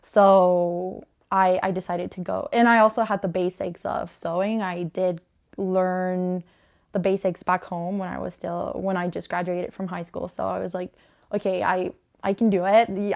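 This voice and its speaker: Clear, smooth-voiced young woman from Honduras. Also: on the low side, fairly even intonation, normal speed, a little mellow. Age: 20s. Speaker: small clothing business owner, interviewee. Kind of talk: casual interview monologue about own work.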